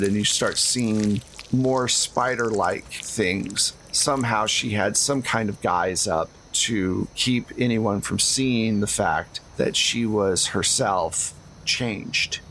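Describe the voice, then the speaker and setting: A man's voice: lowish voice, a bit bright, slight background noise, low-quality recording. A man narrating as game master of a tabletop roleplaying game, improvising scenes and talking to his players.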